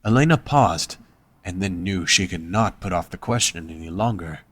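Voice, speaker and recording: A man's voice: sits low, normal speed, a bit bright. A man reading scripted story narration as the narrator of an audio drama.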